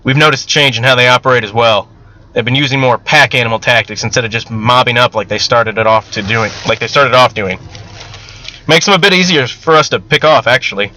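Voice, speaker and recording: A man's voice: mid-range voice, a little fast, sharp tone, lightly acted, rough recording. A man voicing a pragmatic, plain-spoken army sergeant in his thirties for an audiobook story. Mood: matter-of-fact. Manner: matter-of-fact, plain-spoken military talk.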